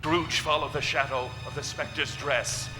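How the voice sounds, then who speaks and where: A man's voice: fairly high pitch, flat delivery, moderate pace, natural voice. A man narrating a ghost tale in a staged radio play, as a solemn, ominous storyteller. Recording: long reverberant hall, music behind.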